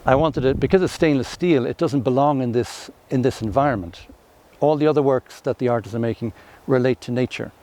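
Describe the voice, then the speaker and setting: A man's voice: mid-range voice, brisk, hardly any pauses, warm tone. A man, a sculptor, explaining his work in a documentary interview in a reflective, loose way.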